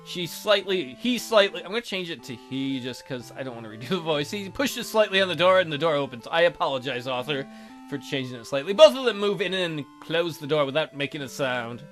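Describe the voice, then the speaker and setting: A man's voice: a little high, fairly quick. A man hosting a let's play, reading a visual novel aloud with goofy, joking asides. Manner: jokey, animated, goofy asides. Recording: background music under voice.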